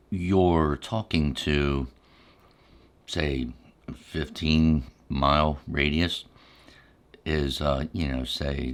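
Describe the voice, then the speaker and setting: Very deep-voiced man, unhurried ASMR storyteller. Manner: unhurried, halting, reflective recollection. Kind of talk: ASMR casual storytelling while eating.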